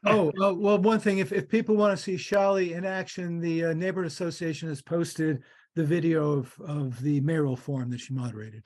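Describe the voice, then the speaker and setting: A man's voice: fairly high pitch, steady tone, a little fast, few pauses, a little mellow. A man on a board meeting call, joking around and hesitating with fillers. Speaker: board member. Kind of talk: online meeting chat. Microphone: video call.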